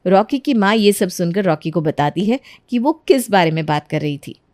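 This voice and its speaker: A woman's voice: fairly low pitch, lively intonation, moderate pace, darkish tone, natural voice. A woman giving scripted narration for a family moral story.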